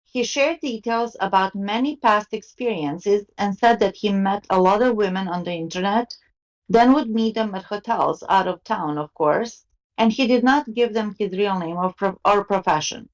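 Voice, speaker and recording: A woman's voice: medium pitch, moderate pace, poor audio. A woman co-hosting a podcast, reasoning through her views and asking others to agree, and at times reading a book passage aloud. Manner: analytical, asks for agreement. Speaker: podcast co-host and reader. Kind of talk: casual discussion and reading aloud.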